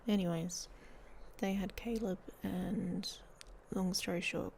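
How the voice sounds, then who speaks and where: A woman playing a guarded, hot-tempered young werewolf in an ASMR roleplay, speaking in breathy half-whispers with a hesitant, dryly blunt manner. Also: mid-range voice, a little mellow. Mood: wry, guarded. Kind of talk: ASMR roleplay audio story, intimate monologue. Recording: faint background noise.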